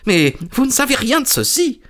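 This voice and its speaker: Guy putting on nervous weaselly little storybook man, high whiny shaky voice, hesitant and pleading. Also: big pitch swings, measured pace. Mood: anxious, pleading. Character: small, nervous, weasel-faced man, whining and anxious. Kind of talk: audiobook character voice.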